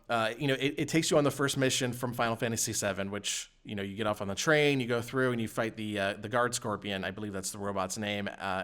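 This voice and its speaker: Man hosting a casual gaming podcast. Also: medium pitch. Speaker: podcast host.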